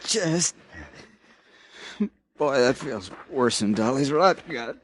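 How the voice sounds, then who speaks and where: An actor playing a wounded, defiant old-west sidekick who groans and speaks weakly in a 1950s radio drama. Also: male voice, mid-range voice, lively intonation, slow, slight background noise.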